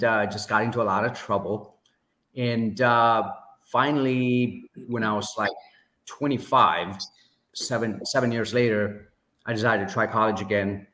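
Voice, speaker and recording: A man, telling his story as a podcast guest in a measured way, pausing often with fillers. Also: lowish voice, bright, forceful tone. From Sri Lanka. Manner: measured, many pauses and fillers. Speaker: podcast guest, business owner. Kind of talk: casual interview storytelling. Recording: echoey room.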